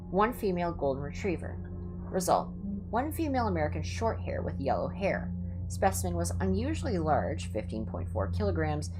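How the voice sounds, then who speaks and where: A woman with a fairly low voice reads report-style text aloud as a livestream host. Her delivery is informal, shifting between reading and offhand comments. Music plays clearly behind her. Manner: informal, stumbles over Latin words and comments on the text. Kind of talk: livestream reading of clinical reports with casual asides.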